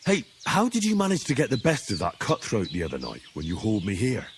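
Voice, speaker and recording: A modest young commoner hero. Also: male voice, fairly low pitch, huge pitch swings, fast, slight background noise. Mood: wry, teasing. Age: young adult. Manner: plain-spoken, dry humor. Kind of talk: video game cutscene acting.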